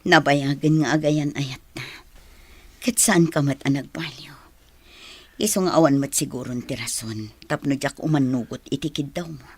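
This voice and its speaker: An actress playing a determined, longing mother in a radio drama, with a husky breathy voice and an Ilocano accent. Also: extremely low pitch, normal speed, full character voice. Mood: resigned, yielding. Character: determined, longing mother seeking her lost child.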